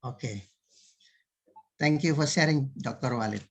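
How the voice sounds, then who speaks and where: A man's voice: mid-range voice, moderate pace, slightly rough, highs cut off. A man, an English teacher, asking a polite and somewhat hesitant follow-up question during the panel. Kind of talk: online panel discussion.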